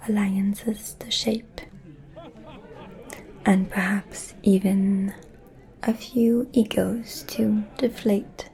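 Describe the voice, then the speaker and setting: A woman playing a scheming, haughty vampire noblewoman in an ASMR roleplay, speaking in a breathy whisper with a deliberate, insinuating manner. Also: mid-range voice, dark tone. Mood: imperious, knowing.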